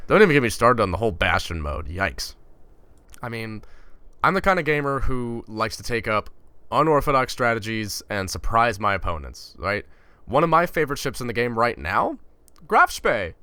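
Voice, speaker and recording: Young man, from Finland, bright forceful voice, exasperated sarcastic rant, casual vlog talk. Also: mid-range voice, big pitch swings, normal speed, smooth, no echo. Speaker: gaming YouTuber.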